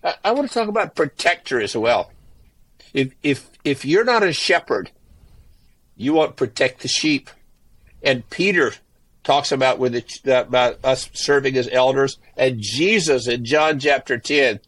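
A man's voice: mid-range voice, lively intonation, a little slow, fairly bright tone, dry recording. A man who is an author and former pastor, speaking earnestly in a podcast interview and often repeating himself as he restarts phrases.

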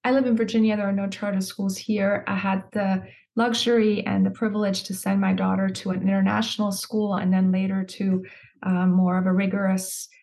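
A woman's voice: medium pitch, little pitch movement, brisk, vocal fry, darkish tone. A middle-aged woman from Iran, an education nonprofit leader, answering interview questions earnestly and articulately, with fillers.

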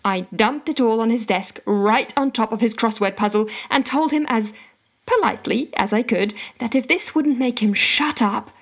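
A woman's voice: a little high, normal speed. An actress playing an exasperated, frantic office worker, telling her woes melodramatically in an audio drama voicemail monologue. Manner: melodramatic, indignant storytelling with sarcastic quoting. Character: exasperated, frantic office woman ranting to a colleague.

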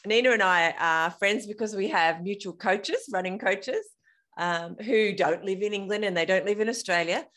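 A woman hosting a running podcast, chatting in a bubbly, animated way and laughing often. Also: medium pitch, normal speed. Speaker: podcast host. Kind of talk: casual interview chat.

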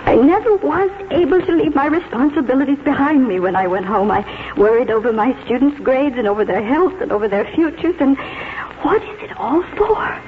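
Weary elderly schoolteacher on old radio. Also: female voice, sits high, animated, brisk, a little hiss or hum. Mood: weary, resigned. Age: older. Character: weary sentimental seventy-five-year-old spinster schoolteacher. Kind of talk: 1940s radio drama acting. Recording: muffled old radio broadcast, music behind.

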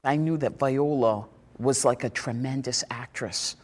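A woman's voice: rumbling low, unhurried, darkish tone. Woman teacher in a reverberant room. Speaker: drama teacher interviewee.

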